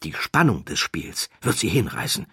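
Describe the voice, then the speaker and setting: Man acting smooth-talking gambler, confident, matter-of-fact, lively intonation, dry close sound, audiobook dialogue. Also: low-pitched, moderate pace, dry recording, heavily acted. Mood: confident, scheming. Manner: persuasive, matter-of-fact, familiar. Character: confident, smooth-talking male professional card sharp.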